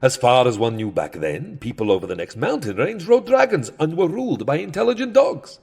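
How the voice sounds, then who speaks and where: An ancient vampire count, holds forth in a comic audio drama. His slightly rough voice leaps dramatically up and down, wry, fussy and long-winded. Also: on the high side, very animated, fairly quick, darkish tone, full character voice. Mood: wry, chatty. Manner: theatrical, wry, fussily verbose. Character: Count Dracula, centuries-old male vampire, fussy, sardonic showman. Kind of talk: comedic audio drama acting.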